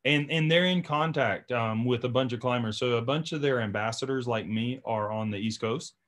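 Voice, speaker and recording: A man's voice: medium pitch, normal speed, a bit bright. A man hosting a podcast in a casual, chatty style, talking emphatically and often checking in with "right?" and "you know". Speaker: podcast host.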